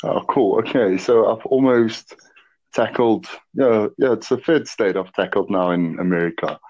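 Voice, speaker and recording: A South African man in his late twenties talking casually in a measured way, with frequent "uh" and "um". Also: darkish tone. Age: 20s. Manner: measured, chatty, slips in "uh" and "um". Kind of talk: casual chat. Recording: muffled low-fidelity audio.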